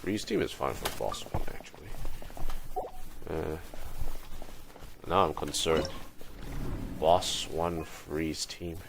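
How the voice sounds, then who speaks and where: A man's voice: extremely low pitch, slow, vocal fry, long pauses, noisy background. A man livestreaming a game with casual commentary, muttering and thinking aloud in fragments. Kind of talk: casual live gaming commentary.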